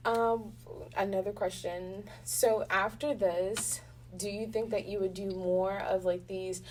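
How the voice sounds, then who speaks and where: A woman talking casually and informally as a guest in a relaxed interview. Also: medium pitch, a little slow. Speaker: voice actor guest. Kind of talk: casual impromptu interview chat. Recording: noisy room, distant mic.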